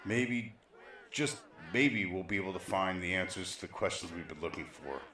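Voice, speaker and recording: A man's voice: low voice, lively intonation, moderate pace, bright, forceful tone. A man playing a grave, weary survivor leader in an apocalyptic audio drama, speaking in a terse, solemn, dramatic way. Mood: intent. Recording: roomy echo, faint dramatic music behind.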